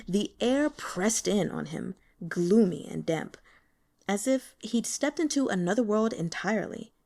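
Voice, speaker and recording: A woman's voice: medium pitch, dark tone. A woman reading a novel aloud as scripted narration. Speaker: audiobook reader.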